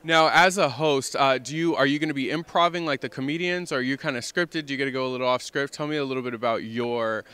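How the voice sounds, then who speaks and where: A male entertainment correspondent with an upbeat, friendly delivery. His voice is bright and tense at the edges, yet smooth and crisp. He asks his questions eagerly. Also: mid-range voice, quick pace, few pauses. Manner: upbeat, friendly, laughs often. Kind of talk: press junket interview.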